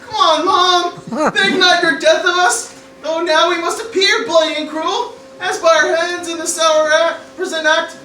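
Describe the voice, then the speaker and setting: A woman playing an anxious cartoon teenage boy in an amateur parody radio play, speaking theatrically with halting stammers. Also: very high-pitched, fairly even intonation, bright, forceful tone, full character voice. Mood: earnest, halting. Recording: echoey room, distant mic, faint noise.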